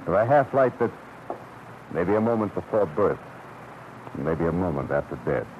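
Deep-voiced, hard-boiled American private eye. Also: male voice, expressive, moderate pace, slight background noise. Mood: somber, wistful. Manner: hard-boiled, clipped, brooding. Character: tough, wry, world-weary private detective, male. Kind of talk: classic radio noir first-person narration and dialogue. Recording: muffled vintage radio recording, loud music behind.

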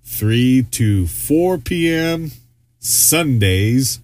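A man's voice: medium pitch, expressive, drawn-out words, darkish tone. A man co-hosting a podcast in casual chat, rambling with lots of fillers and restarts. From Arizona, US. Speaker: podcast co-host.

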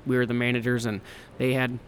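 A man's voice: flat delivery, quick pace, slight background noise. A man in his twenties from Kansas, a hunting ranch owner chatting casually in a podcast interview, rambling and self-deprecating with lots of restarts. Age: 20s. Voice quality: high-pitched voice.

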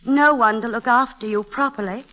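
An actress playing a brisk, kindly middle-aged English widow with a firm, chatty manner in a classic radio drama. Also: female voice, on the high side, sharp tone, low-quality recording. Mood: cosy, chatty. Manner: firm, matter-of-fact, chatty.

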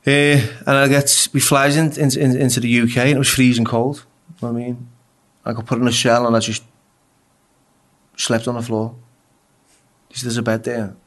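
A man's voice: mid-range voice, little pitch movement, moderate pace, pauses often. English man telling raw prison stories. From England. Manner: swears freely, stammers and repeats words. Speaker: guest. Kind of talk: casual interview storytelling.

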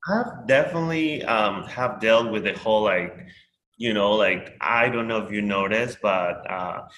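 A man's voice: on the low side, unhurried, fairly bright tone, echoey room, dull audio, slight background noise. A man from Peru with a Peruvian Spanish accent, Spanish his first language, talking in a rambling casual conversation full of fillers and restarts.

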